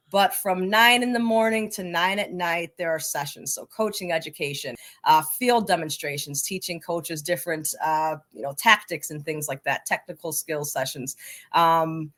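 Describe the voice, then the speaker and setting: A woman's voice: fairly low pitch, a bit bright. An enthusiastic, talkative woman speaking emphatically as a guest in a conversational interview. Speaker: podcast guest, soccer coaching advocate.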